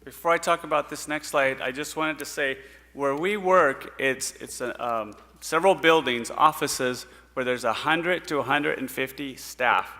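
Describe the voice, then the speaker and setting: A middle-aged man from Puerto Rico giving an earnest missionary report to a church congregation, pausing often with fillers. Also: medium pitch, sharp tone. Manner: earnest, storytelling, pauses with fillers. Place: church sanctuary stage. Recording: hall reverb in a church.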